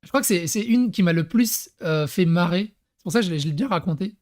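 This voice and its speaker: A young man chats through his stories, stumbling over restarts and scattering fillers. His voice sits a little high for a man. The sound is dry and close, with no room around it. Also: expressive, fast talker, darkish tone, no room sound. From Paris, France. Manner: rambling, lots of fillers and restarts, laughs at own stories. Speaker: YouTuber. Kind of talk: casual storytelling to camera.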